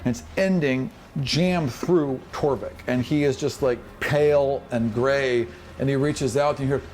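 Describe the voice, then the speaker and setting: A man's voice: mid-range voice, measured pace, darkish tone. A man working as game master, improvising narration of a tabletop fantasy game and acting out sound effects as he goes. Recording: noisy room recording.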